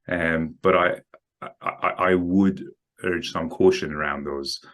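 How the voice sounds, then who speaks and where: A man with a low voice answers questions as a guest on a market show. He speaks haltingly, repeating words and filling pauses with "um." He sounds like he is working out his answers as he goes. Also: fairly even intonation, a bit bright. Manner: hesitant, many ums and repeated words. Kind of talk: casual interview answers.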